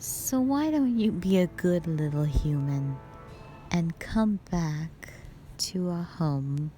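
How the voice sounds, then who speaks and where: Woman voicing a slow, condescending spider-woman villain. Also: fairly low pitch, lively intonation, mellow, faint music behind. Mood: coaxing, threatening. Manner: slow, drawn-out, condescending taunting. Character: taunting, cruel spider-woman monster, mockingly sweet and menacing. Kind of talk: dramatic fantasy audio roleplay.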